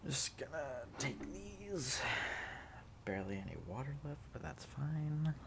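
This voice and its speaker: A man playing an affectionate, sleepy student in an intimate ASMR roleplay, soft, teasing and halting as he dotes on a pet. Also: fairly high pitch, big pitch swings, vocal fry, very noisy, full character voice. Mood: cozy, sleepy. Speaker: audio roleplay performer.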